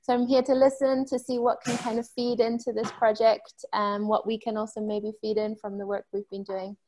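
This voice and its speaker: A woman in her thirties with a fairly high voice, speaking on a video call from home. She introduces herself in a friendly, curious way, pausing now and then. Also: level tone, dark tone, a little hiss or hum, poor audio. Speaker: alumna and project coordinator.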